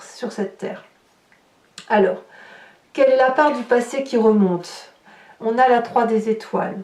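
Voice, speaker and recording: A woman's voice: mid-range voice, dark tone. A woman giving an improvised tarot reading straight to her listeners, explaining as she goes and searching for words aloud. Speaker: tarot reader, horoscope host. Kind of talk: improvised spoken tarot reading addressed to viewers. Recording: roomy echo, distant microphone.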